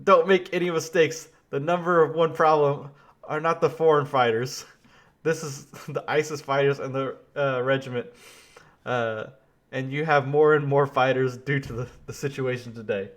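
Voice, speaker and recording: A man's voice: medium pitch, steady tone, moderate pace, voice pushed down, close to an everyday voice. A man putting on a poor French accent to play a stiff, earnest male leader. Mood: mock-serious.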